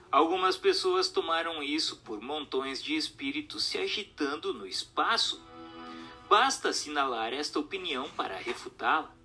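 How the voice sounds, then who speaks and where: A man reading an expository text as a narrator, in a formal, measured, didactic way. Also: on the high side, pushed and bright.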